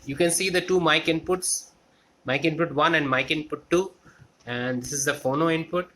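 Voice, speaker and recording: A man giving an unscripted technical walkthrough as a hobbyist, explaining step by step in a halting way and repeating phrases. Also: a little high, bright, forceful tone. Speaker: electronics hobbyist demonstrating a restored amplifier. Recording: clear background noise with clicks.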